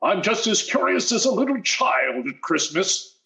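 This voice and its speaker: An actor playing a blustery, pompous older boss in 1940s radio sitcom style. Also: male voice, high voice, sing-song, moderate pace, creaky, noisy background, full character voice. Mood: curious, eager. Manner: pompous, theatrical delivery. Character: blustery, demanding older male boss, easily indignant.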